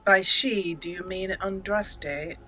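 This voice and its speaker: A woman hosting a game playthrough, reading her character's lines aloud with deliberate, theatrical, questioning phrasing. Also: medium pitch, bright, forceful tone, rough recording. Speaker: gaming channel host, role-playing her character.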